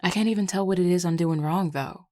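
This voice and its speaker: A woman's voice: fairly low pitch, fast talker, warm tone, no room sound, clean studio sound, natural voice. A woman voicing a flirty, affectionate Southern werewolf in an intimate audio roleplay, with a casual, teasing Southern US drawl. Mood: wistful, smitten. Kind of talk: intimate audio roleplay, one-sided conversation.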